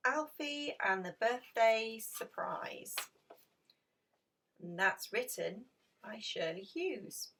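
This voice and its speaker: A woman's voice: a little high, animated, takes it slowly, long pauses, a bit bright, recorded from a distance, a little hiss or hum. A woman reading a children's picture book aloud as a storyteller. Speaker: storybook reader.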